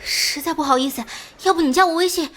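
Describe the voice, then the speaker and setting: A woman voicing a flustered, apologetic young woman in an animated drama. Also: extremely high pitch, fast talker, a little hiss or hum. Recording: faint background ambience.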